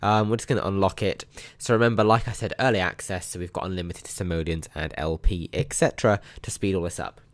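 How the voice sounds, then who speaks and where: A young man with a hoarse, croaky voice from a sore throat, chatting upbeat through a mobile game walkthrough with plenty of ums. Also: deep voice, steady tone, quick pace. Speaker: mobile game channel host. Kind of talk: casual gameplay walkthrough commentary.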